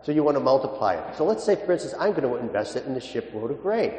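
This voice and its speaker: Priest preaching in an echoing hall. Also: male voice, medium pitch, big pitch swings, dark tone. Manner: storytelling, halting with repeated words, emphatic on key points. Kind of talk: sermon with anecdotes and gospel teaching. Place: large reverberant indoor space. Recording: echoey, reverberant church-like hall.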